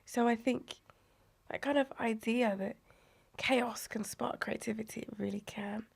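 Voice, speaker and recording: A woman, unwell and croaky, animatedly recalling her childhood as a podcast guest, often dropping to a breathy near-whisper. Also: on the high side, steady tone, a little slow. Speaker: guest, inclusive play advocate. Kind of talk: conversational podcast interview.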